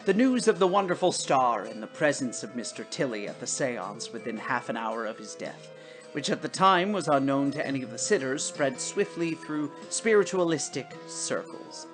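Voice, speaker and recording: A woman narrating a literary story as an expressive, dramatic storybook reader. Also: a bass voice, moderate pace. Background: music.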